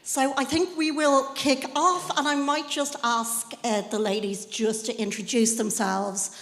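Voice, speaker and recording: A woman's voice: high-pitched, steady tone, a little slow, a bit bright. A woman acting as moderator, opening a live panel and introducing the speakers. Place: indoor conference hall. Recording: hall reverb.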